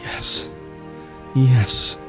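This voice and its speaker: A man playing a bitter, passionate, grieving lover in a melodramatic audio drama, tormented and intense. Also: drawn-out words. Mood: tender anguish. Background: dramatic music.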